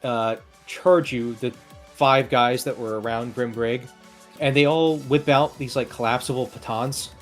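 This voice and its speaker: A man's voice: medium pitch, steady tone, measured pace, soft background music. A man narrating a tabletop game as game master in a casual, improvised, playful way.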